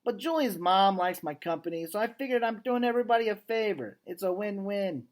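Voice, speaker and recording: A man's voice: high-pitched, a little fast, pitched above the performer's own voice, lightly acted. Man doing a cocky, breezy little storybook dog. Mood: self-satisfied. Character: Bob, tiny wisecracking male dog, cocky and breezy. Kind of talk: children's novel read aloud, character dialogue.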